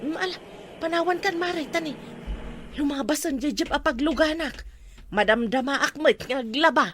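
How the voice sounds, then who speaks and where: A woman's voice: high-pitched, moderate pace, a bit bright. A woman playing a chatty neighbourhood mother in a comedy drama, with an Ilocano Filipino accent.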